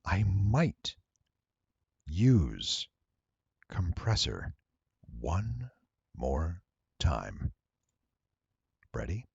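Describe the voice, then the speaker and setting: Gravelly, very low-voiced man presenting casually. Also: wildly swooping pitch, drawn-out words, long pauses, dry recording, dull audio. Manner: rambling, playful, exclaiming to viewers. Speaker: tutorial presenter and voice actor. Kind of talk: casual software walkthrough, thinking aloud.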